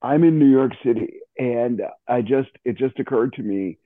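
A middle-aged or older American man chatting casually, halting and amused as he talks. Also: medium pitch, dry recording. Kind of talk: casual chat. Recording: thin phone-like call audio.

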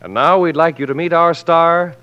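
A man's voice: on the high side, moderate pace. Male announcer for vintage 1940s radio show, muffled broadcast with prominent music behind. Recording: dull old radio broadcast, loud music.

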